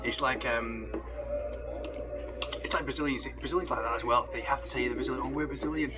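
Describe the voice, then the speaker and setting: A man's voice: mid-range voice, fast talker. A British man vlogging casually while eating, rambling and opinionated with lots of restarts. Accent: British English. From Britain. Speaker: travel vlogger.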